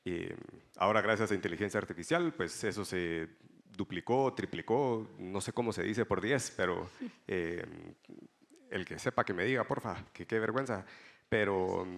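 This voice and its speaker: A man speaking as a fintech panelist on a conference stage, hesitant and full of fillers, with light jokes. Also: fairly low pitch. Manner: hesitant, many fillers, joking.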